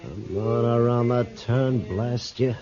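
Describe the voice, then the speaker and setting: A man's voice: mid-range voice, takes it slowly, a crowd in the background, close to an everyday voice. An actor playing a surly, cowardly gunman with a grumbling, defensive manner in a 1950s radio western. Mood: impatient menace. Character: surly Old West gunman, cowardly when cornered. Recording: dull, noisy vintage radio recording.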